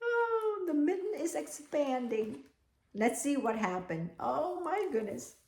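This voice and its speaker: A woman reading a picture book aloud to children, expressive and animated, with chatty, exclaiming asides to young listeners. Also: high voice, slow pace. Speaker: read-aloud storyteller for children. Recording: echoey room.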